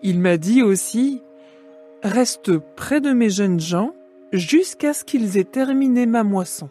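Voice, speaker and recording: An actress as a young biblical widow, humble and devoted. Her mellow, husky voice moves slowly and earnestly over music. She sounds deferential, as in a scripture drama. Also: medium pitch, animated. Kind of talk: dramatised scripture reading in French.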